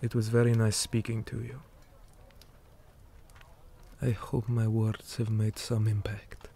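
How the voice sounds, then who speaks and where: A man playing a weary, principled orc commander in an ASMR roleplay, speaking gravely and measuredly and often dropping into breathy whispers. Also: on the low side, completely flat delivery, slow, dark tone, a little hiss or hum. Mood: calm, resolute.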